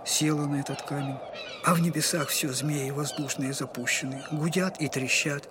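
An actor playing a humble, wounded retired captain and loving poor father in an audio drama, speaking effusively and haltingly in a servile old-fashioned way. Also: a little high, steady tone, noisy background, lightly acted. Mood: grieving.

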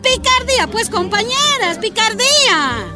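An actress playing a cautious, shrewd female union leader in a radio drama, deliberate and persuasive, with a Bolivian accent. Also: very high-pitched, animated, over loud music. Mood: shrewd, instructing. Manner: deliberate, drawn-out, reasoning.

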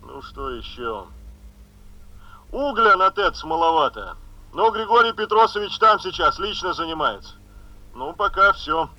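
An actor in a 1970s radio drama playing a brisk emergency headquarters chief, giving clipped reports through a telephone filter. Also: male voice, on the high side, big pitch swings, bright, forceful tone, no room sound, dull audio, full character voice. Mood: businesslike. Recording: band-limited telephone sound in old radio play.

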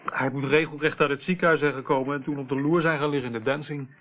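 An actor playing a matter-of-fact, proud police officer in a Dutch radio play, on an old narrow-band recording. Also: male voice, on the high side, steady tone, fast, a little hiss or hum, lightly acted.

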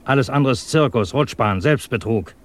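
An actor playing a sardonic, insistent German communist agent and former officer in a 1950s radio drama, speaking in a clipped, probing way. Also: male voice, medium pitch, normal speed, natural voice. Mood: earnest, insistent. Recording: 1950s radio recording, faint noise.

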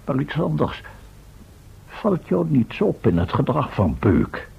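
A man's voice: medium pitch, big pitch swings, takes it slowly, gravelly, husky, lo-fi sound, heavily acted. A man acting an exasperated, schoolmasterly professor who leads an expedition in an old radio drama. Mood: stern, exasperated. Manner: indignant, schoolmasterly. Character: exasperated male professor leading a time-travel expedition.

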